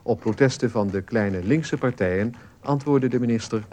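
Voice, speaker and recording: An actor playing a sober male radio news reader, formal and measured, in a Dutch radio play. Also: medium pitch, warm tone, slight background noise, lightly acted. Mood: neutral, formal.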